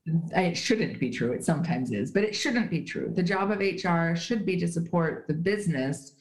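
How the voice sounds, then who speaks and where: A woman with a low voice speaks as an interview guest, explaining things emphatically and leaning on everyday examples. Her voice sounds roomy, as if recorded remotely in a live space. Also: lively intonation, normal speed. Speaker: guest, HR writer. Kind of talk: conversational interview. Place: at home in Switzerland.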